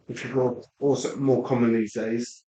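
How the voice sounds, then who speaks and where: A man's voice: medium pitch, little pitch movement, moderate pace, dark tone, echoey room, low-quality recording. A middle-aged man with an English Midlands accent, chatting casually and rambling with asides to viewers. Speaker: live-stream Lego builder. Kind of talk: casual live-stream chat while building.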